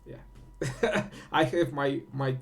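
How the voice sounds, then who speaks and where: A man from Romania speaking English with a Romanian accent, giving a casual spiritual talk full of fillers, restarts and pauses. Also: medium pitch, steady tone, gravelly. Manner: halting, many fillers and restarts. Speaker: host.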